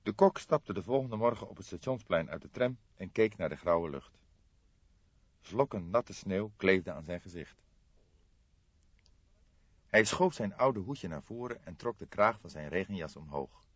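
A man's voice: low-pitched, animated, measured pace, pauses often, husky, no echo, lo-fi sound. A man reading scripted literary narration as an audiobook narrator.

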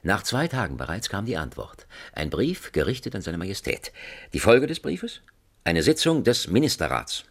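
A man narrating a German radio play as a wry, mock-solemn storyteller, with a gravelly, husky voice and literary phrasing. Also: deep voice, very animated, moderate pace, heavily acted. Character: wry, theatrical storyteller of a political satire.

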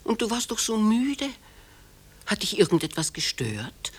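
An old mother in a radio drama, clingy and anxious, tender but reproachful, medium pitched voice. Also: sing-song, a little slow, slightly rough. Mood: tender, fussing. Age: older. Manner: tender, plaintive, reproachful, probing. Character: elderly, still beautiful, possessive, anxiously doting mother. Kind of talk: German radio play acting.

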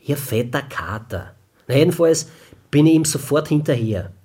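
An actor playing a cocky Austrian band musician in a radio crime drama, husky, flippant and drawling, with slangy, oddly stilted phrasing. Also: male voice, a little high, expressive, normal speed, warm tone. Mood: chatty. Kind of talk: colloquial radio play dialogue.

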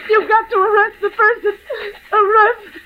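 Very high, frantic wife in radio melodrama. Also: female voice, flat delivery, normal speed, a little hiss or hum. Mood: desperate, accusing. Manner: shrill, hysterical, breathless urgency. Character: frantic, desperate wife denouncing her arsonist husband. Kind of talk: 1940s radio melodrama acting. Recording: dull old radio recording, music behind.

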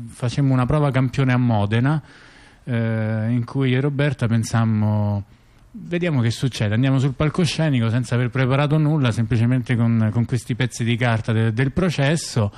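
A man's voice: mid-range voice, moderate pace, a little mellow. A man, a theatre performer being interviewed, telling stories in a chatty, rambling, colloquial way. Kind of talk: casual spontaneous storytelling in an interview.

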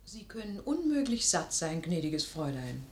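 An actress playing a pert, quick-witted young lady's maid in a classical radio comedy, with a lively and teasing manner. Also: sits low, reverberant. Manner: lively, teasing, crisply theatrical.

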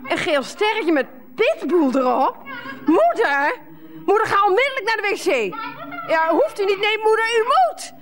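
An actress playing a sarcastic, exasperated middle-aged wife in a radio play, with a scolding, excitable manner. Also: female voice, very high voice, a little slow, full character voice. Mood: curious, then panicked.

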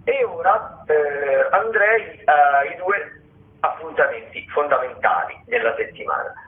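A man's voice: a little high, lively intonation, takes it slowly. Phone-like man presenting theatre listings. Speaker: radio host of a theatre show. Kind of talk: informal spoken arts listings and commentary. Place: radio broadcast. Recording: muffled, phone-like radio sound.

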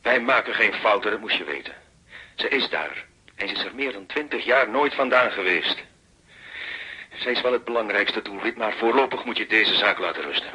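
A cold male handler giving orders in a radio play. His voice is low and very raspy with a hard, piercing edge. He speaks curtly and with businesslike authority. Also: lively intonation, moderate pace, bright, forceful tone, exaggerated voice, low-quality recording. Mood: stern, cold. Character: cold, commanding male handler of hired killers. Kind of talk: radio drama acting.

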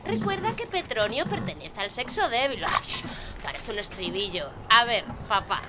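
A woman's voice: fairly high pitch, very animated, normal speed, noisy background. A woman playing a bratty, scornful teenage sister in a radio drama, theatrical and sneering. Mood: whining, sarcastic.

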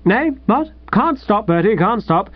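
A man voicing a jovial, gushing, patronising young English gentleman editor for an audiobook. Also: fairly high pitch, animated, normal speed, no room sound, dull audio, close to an everyday voice. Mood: overjoyed. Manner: gushing, breezy, chummy. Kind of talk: audiobook character voice.

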